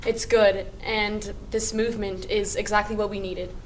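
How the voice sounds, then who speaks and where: A woman's voice: mid-range voice, level tone, normal speed, far from the mic, lightly acted, low-quality recording. A woman playing an approving 1920s temperance movement member in a scripted news interview. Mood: pleased, approving. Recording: off-mic, faint background noise.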